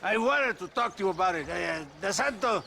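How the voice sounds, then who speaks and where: An actor playing a lecherous, jovial and brutish Mexican army colonel in a video game, boisterous and leering, with a Mexican accent. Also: male voice, sits high, expressive, a little fast, creaky, pushed and bright, a little hiss or hum, full character voice. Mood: jovial bluster. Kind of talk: video game cutscene acting.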